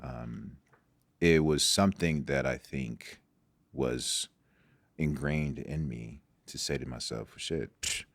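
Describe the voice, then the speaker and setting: A man's voice: extremely low pitch, slow, creaky, no echo. A Nigerian-born man whose first language is Igbo, a guest in an interview, talking reflectively and often restarting his sentences. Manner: reflective, with many restarts and repeated words. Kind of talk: casual interview talk.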